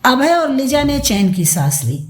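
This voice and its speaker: A woman narrating a scripted audio story in a dramatic, deliberate storytelling manner. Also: high voice, big pitch swings, darkish tone.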